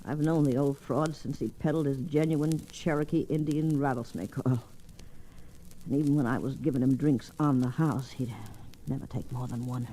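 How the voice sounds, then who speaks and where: Low-voiced, tough old frontier saloon woman. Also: fairly even intonation, moderate pace, dark tone, rough recording. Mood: weak, weary. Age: older. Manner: folksy, plainspoken, ungrammatical frontier talk. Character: tough, weary old female saloon keeper and gambler. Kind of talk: old-time radio western acting. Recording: old radio recording, faint noise.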